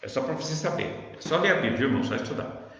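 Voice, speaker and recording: A man's voice: mid-range voice, sing-song, fast, fairly bright tone. A man with a São Paulo Brazilian accent teaching the Bible informally, conversational and didactic, often checking that the listener follows. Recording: very echoey reverberant room, dull sound.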